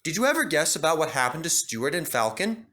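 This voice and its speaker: A man voicing a friendly, knowing tank engine who tells a tale in a measured way, in a storybook reading. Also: fairly high pitch, big pitch swings, pushed and bright, pitched above the performer's own voice.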